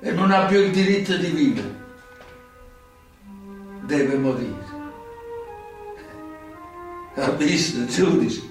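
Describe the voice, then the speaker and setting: An actor playing an old, sick, brooding mobster turned informant in a radio play, halting and theatrical, with long pauses. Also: male voice, fairly high pitch, very animated. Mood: grim, defiant. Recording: very echoey, distant recording with music behind.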